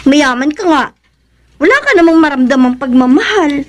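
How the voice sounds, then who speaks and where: High-voiced, coy girlfriend. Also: expressive, moderate pace. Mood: pensive. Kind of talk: Philippine radio drama acting.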